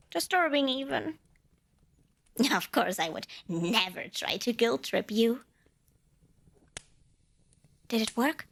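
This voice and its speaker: A woman performs a little female demon in an intimate roleplay for the listener. Her voice sits high and leaps around in pitch. She is proud and indignant, boasting grandly, then hedging and correcting herself like a flustered small saleswoman. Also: heavily acted. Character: tiny cute female demon, proud, flustered, bargain-loving. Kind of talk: ASMR roleplay addressed to the listener.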